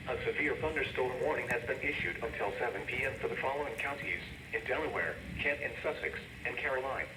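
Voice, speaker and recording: Synthetic male weather radio announcer. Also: mid-range voice. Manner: flat even reading of lists. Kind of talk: automated weather warning broadcast. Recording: roomy radio broadcast taped from far off, noisy.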